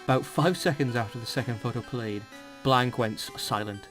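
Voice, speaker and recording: A man with a mid-pitched voice jokes around as he reads aloud for comedy. He is teasing and giggly, swears freely and slips between casual chat and mock drama. Music plays behind him. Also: normal speed, a bit bright. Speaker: co-host reading fan fiction aloud. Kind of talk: casual joking banter and mock-dramatic reading.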